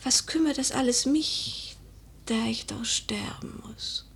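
An actress playing a proud, grieving young widow of noble birth in a literary radio drama, with a breathy, solemn delivery that often drops toward a whisper. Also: female voice, fairly high pitch, slow, fairly bright tone, full character voice. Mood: haunted, hushed.

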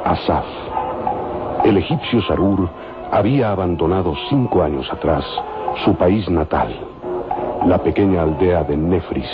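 A man narrating a scripted radio serial in a solemn, literary way with measured phrasing. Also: low-pitched. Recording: dull lo-fi old radio recording. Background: music.

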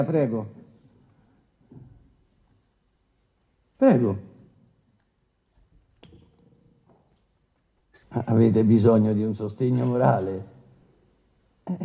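A man acting a brusque, paternalistic presiding judge who questions haltingly and interrupts impatiently in a courtroom radio drama. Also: mid-range voice, phone-quality sound, close to an everyday voice. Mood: brusque, condescending. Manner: interrupting, hesitant filler-laden questioning, impatient.

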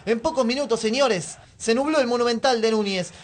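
A man playing an excitable, florid Argentine football commentator in a radio drama, with grandiloquent delivery. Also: extremely high pitch, brisk. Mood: solemn, florid. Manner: grandiloquent, theatrical.

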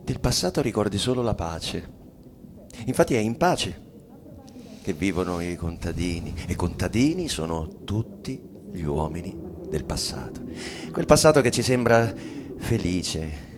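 Anxious gloomy husband, really low voice, stagey radio drama, noisy ambience behind him. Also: male voice, sing-song, measured pace. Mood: oratorical. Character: anxious, brooding bourgeois husband and father. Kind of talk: theatrical radio play reading. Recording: clear background ambience noise. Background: ambient sound design.

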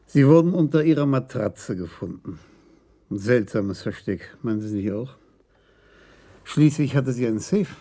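An actor playing a senior police investigator in a German radio crime drama, measured, composed and politely probing. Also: male voice, mid-range voice, lively intonation, dark tone, close to an everyday voice.

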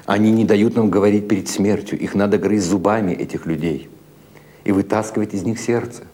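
Man voicing a grim, bitter gangster, low voice. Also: steady tone, brisk, warm tone, lightly acted. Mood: bitter, urgent. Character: grim, bitter gangster disguised as old peddler woman.

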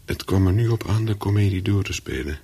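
An actor playing a calculating, persuasive young spy in a radio play, with terse, controlled speech and a husky voice. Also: male voice, low voice, brisk, dark tone. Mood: reflective narration. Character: calculating young male spy, persuasive, looking back as narrator. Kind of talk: radio play dialogue and first-person narration.

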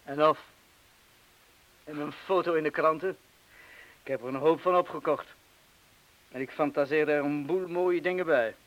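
A male actor as a reflective ex-bomb-disposal man in a radio drama. His voice sits a little high for a man, and he speaks in measured, understated phrases, sceptical and modest about himself. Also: close to an everyday voice, low-quality recording. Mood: wry, self-deprecating. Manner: measured, understated, terse replies. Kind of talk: radio play acting.

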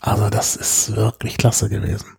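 Ein Mann, der in einem Podcast locker und mit vielen Füllwörtern von einer Reise erzählt. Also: male voice, fairly low pitch, completely flat delivery, moderate pace, gravelly, darkish tone, slightly rough. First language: German. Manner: chatty storyteller, many fillers. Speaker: podcast host. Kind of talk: casual chat.

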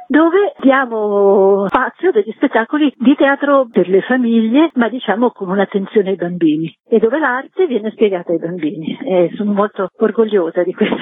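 A woman's voice: medium pitch, breathy edge, dry recording. A woman explaining things as a guest in a promotional radio interview, often correcting herself as she goes. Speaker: festival co-artistic director, interview guest. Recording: narrowband phone-like line.

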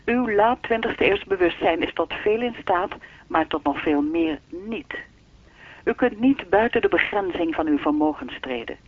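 A man's voice: high-pitched, lively intonation, moderate pace, no echo. A man playing an enigmatic, all-knowing keeper of time in a radio play, speaking formally and teasingly through a telephone filter. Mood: formal, unruffled. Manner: formal, lecturing, unruffled, faintly teasing. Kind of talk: radio drama dialogue. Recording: thin, dry telephone-line sound.

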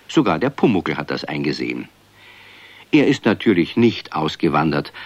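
A man's voice: sits low, no echo. A man playing a wry, kindly narrator of a children's radio story, addressing the listeners in scripted narration.

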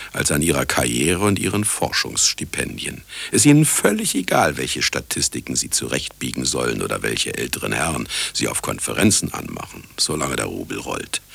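A man's voice: a bass voice, vocal fry, a bit bright, slightly rough, full character voice. An actor playing a cynical, vain older retired scientist who narrates his own story in a satirical radio play, sardonic and self-important. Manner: sardonic, self-important, talking to listener and sound engineer. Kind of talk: satirical radio drama monologue.

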